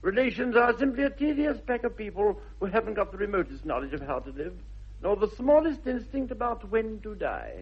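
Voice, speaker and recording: An actor playing a witty, flippant young bachelor with an upper-class English accent, breezy in manner, in a 1960s British radio comedy. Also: male voice, sits high, sing-song, a little fast, husky, heavily acted. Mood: flippant, amused. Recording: dull vintage radio recording.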